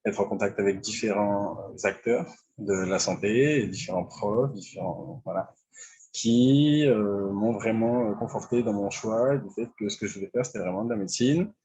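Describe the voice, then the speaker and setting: Casting: twenties male, husky low voice, halting delivery full of fillers, speaking on an online panel. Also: dark tone, low-quality recording. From France (Lyon). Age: 20s. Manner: hesitant, many "euh" fillers. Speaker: medical intern. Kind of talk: online panel talk about his career.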